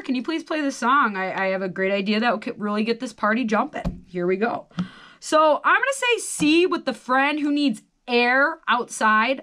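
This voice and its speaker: A woman's voice: a little high, pushed and bright. An American woman in her twenties chatting casually and reading a quiz aloud, with wry, self-deprecating asides. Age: 20s. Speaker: host of a solo quiz video. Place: small radio studio.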